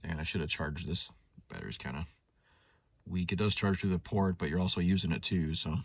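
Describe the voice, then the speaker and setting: A man's voice: low voice, lively intonation, fairly quick, creaky, husky, dry recording. A man doing a casual, unscripted product demonstration as an automotive technician, thinking aloud in a conversational way. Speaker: automotive technician reviewing a scan tool. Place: inside a parked car in a shop.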